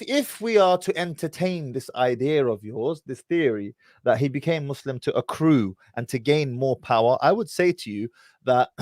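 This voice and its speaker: A man's voice: fairly high pitch, no room sound, clean studio sound. A man hosting a livestream, pressing a caller with blunt questions and cutting in often. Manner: pushes back, interrupts with blunt questions. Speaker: host.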